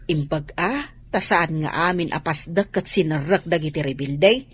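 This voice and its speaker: An actress with a Filipino accent playing a calm, practical woman in a radio drama. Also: low-pitched, normal speed, husky.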